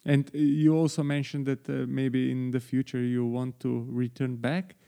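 Hesitant middle-aged man with a mellow voice. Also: mid-range voice, flat delivery, normal speed, gravelly. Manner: questioning, hesitant with many uhs. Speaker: podcast host, the guest's father. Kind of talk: casual interview chat.

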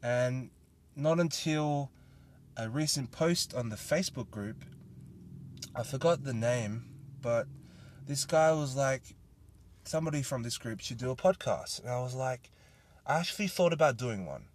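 A man's voice: mid-range voice, lively intonation, unhurried, pauses often, slight background noise. A young Australian man hosting a solo podcast, talking in an earnest, rambling and grateful way. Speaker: podcast host. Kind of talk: casual solo podcast monologue.

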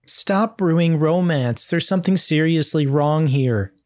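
Woman playing a low-voiced, deadpan sulky teen boy. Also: measured pace. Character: sulky, suspicious teenage boy. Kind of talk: comedic table read.

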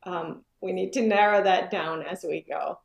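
A woman's voice: on the low side, fairly even intonation, moderate pace, creaky, a crowd in the background. A woman in her forties from Finland, a yoga business owner, talking casually as an interview guest and thinking aloud with many fillers. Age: 40s. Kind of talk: casual conversation. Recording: echoey room.

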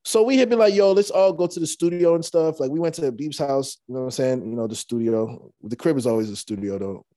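Young rapper guy, mellow low-key voice, loose rambling story, dry sound. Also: fast talker, dry recording. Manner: relaxed, rambling, many fillers and restarts. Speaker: rapper guest. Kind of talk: casual storytelling interview.

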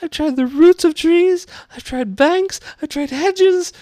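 A performer of unclear sex voicing an anxious, scolding mother pigeon from a storybook in a shrill, indignant screech. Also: unhurried, dark tone, no echo, studio quality. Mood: sobbing exasperation. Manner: agitated, scolding, emphatic. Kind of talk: storybook character voice.

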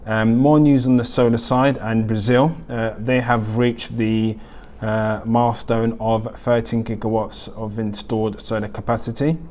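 A man's voice: lowish voice, little pitch movement, a little slow, darkish tone. A man hosting a weekly news roundup, reading the items with many ums and uhs. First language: not German. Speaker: host.

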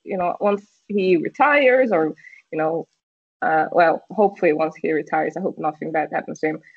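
A Brazilian woman speaking English as a second language, a podcast guest in casual chat, pausing often to search for words. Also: low voice, breathy edge, no echo. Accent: Brazilian Portuguese. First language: Portuguese. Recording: muffled lo-fi call audio.

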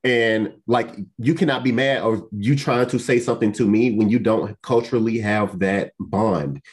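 A man's voice: on the low side, normal speed. Blunt, emphatic man co-hosting a podcast. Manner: blunt, emphatic, swears freely. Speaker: podcast co-host. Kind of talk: casual podcast chat.

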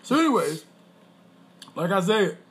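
A man co-hosting a podcast with his wife, talking in a loose, boastful, rambling way and clearing his throat often. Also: sits high, big pitch swings, unhurried, fairly bright tone. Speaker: podcast co-host. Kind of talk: casual chat.

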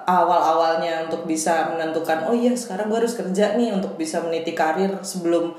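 A woman co-hosting a podcast, chatting casually with quick reactive interjections. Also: fairly low pitch, darkish tone. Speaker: podcast co-host. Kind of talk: casual chat. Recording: very echoey room.

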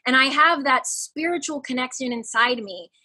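A woman in her forties with a high, youthful-sounding voice talks freely in a relaxed podcast chat. Her tone is bright and forward, and she laughs easily as she shares stories. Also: moderate pace. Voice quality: higher-pitched, youthful-sounding. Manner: expressive, laughs often, animated. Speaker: coach. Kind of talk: casual podcast conversation.

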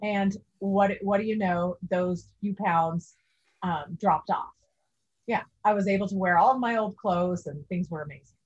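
A woman's voice: mid-range voice, unhurried, breathy edge, highs cut off. A woman in her forties, a guest in a casual interview, speaking earnestly. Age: 40s.

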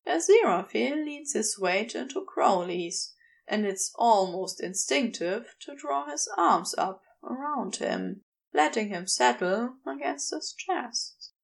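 A woman reading erotic fiction aloud as a scripted, measured narration. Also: on the high side, animated, creaky, low-quality recording. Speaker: fan fiction audiobook reader.

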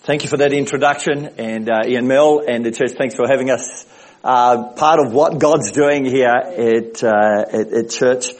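A man with a big booming voice, preaching a sermon as a visiting speaker in a church, with emphatic repetition. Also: a little slow, poor audio. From Australia. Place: church service.

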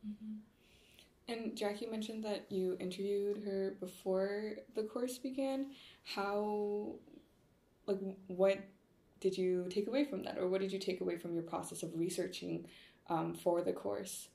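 A woman hosting a podcast interview, asking gentle, somewhat hesitant questions in a casual way. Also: medium pitch, fairly even intonation, measured pace, gravelly. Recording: roomy echo.

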